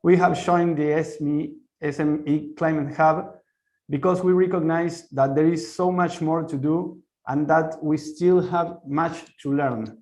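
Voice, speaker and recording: Higher-voiced man giving earnest presentation. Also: darkish tone. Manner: earnest, scripted, occasional restarts. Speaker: webinar panelist. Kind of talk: prepared presentation.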